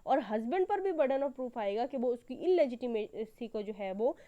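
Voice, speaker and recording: A woman's voice: on the high side, expressive, moderate pace, narrow, tinny audio. A woman giving an explanatory law lecture, going step by step in a didactic, repetitive way with frequent check words. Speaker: law teacher. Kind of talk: explanatory lecture, reading legal text and explaining it.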